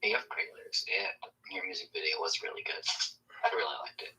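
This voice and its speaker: A guest rapper speaks in a soft, half-whispered voice that sits very low in pitch but has a sharp, bright edge. He sounds distant from the microphone. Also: huge pitch swings, a little fast, gravelly. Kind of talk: casual chat.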